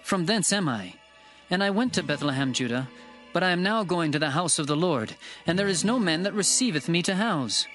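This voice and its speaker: Man acting a high-pitched, resolute traveler. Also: animated, a little fast, fairly bright tone, soft background music. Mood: earnest explaining. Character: resolute traveling master, a weary wayfaring man. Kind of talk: dramatized scripture reading, archaic English.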